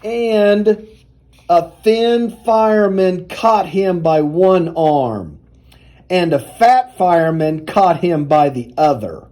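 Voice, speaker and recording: Guy reading a kids story out loud, high pitched but mellow, takes his time. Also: expressive, slow. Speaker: athletics director reading a children's story aloud. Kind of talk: children's picture-book read-aloud.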